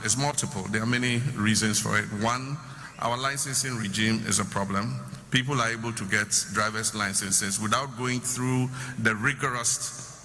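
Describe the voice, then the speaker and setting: A man, a government official, giving measured, explanatory answers at a public question session. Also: fairly low pitch, sharp tone. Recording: noisy hall with long reverb.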